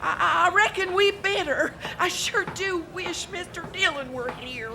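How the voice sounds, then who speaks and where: A woman's voice: extremely high pitch, unhurried, background noise. A woman playing an earnest, folksy male deputy sidekick in a western radio drama, with a rustic drawl. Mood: worried, firm.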